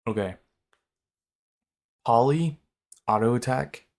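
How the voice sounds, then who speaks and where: Man, stuffy nose, slow unhurried talk, blunt excitable reaction commentary. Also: medium pitch. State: stuffy nose from a sinus infection. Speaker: video creator reacting to a stream.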